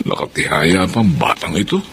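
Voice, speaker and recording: An anguished father, swooping pitch. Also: very animated, vocal fry, a bit bright, slight background noise, full character voice. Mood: fond, casual. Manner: halting, repeats words in anguish. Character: grieving Filipino father, loving, anguished, bitterly reproachful. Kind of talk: emotional radio drama acting.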